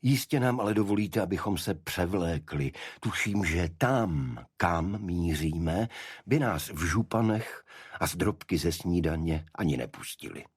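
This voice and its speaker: A man voicing a composed, dryly confident gentleman detective in an audiobook, polite but deliberately insistent. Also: low-pitched, expressive, husky, no room sound, studio quality. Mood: wry compliance.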